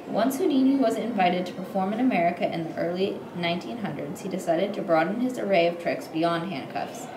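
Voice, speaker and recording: A woman's voice: on the low side, expressive, fairly quick. A young woman reads a scripted history report aloud as a student presenter, occasionally stumbling over her words. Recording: roomy echo, clear background noise.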